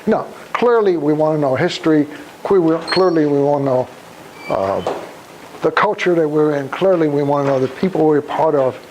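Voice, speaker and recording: Old guy from poland giving a talk, kinda high voice, halting and deliberate, bit of room noise. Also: a little mellow. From Krakow, Poland. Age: over 75. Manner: deliberate, halting phrases with rhetorical questions. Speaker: guest speaker, Holocaust survivor. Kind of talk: public talk with questions to the listeners. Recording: noisy live room recording.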